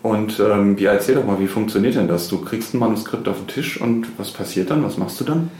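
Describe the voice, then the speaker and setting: A man with a low voice hosts a casual on-location interview. The room around him is echoey, with some noise in the background. He speaks in a conversational podcast style. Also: brisk, a little mellow. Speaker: podcast host interviewing staff. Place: indoors, publishing house office.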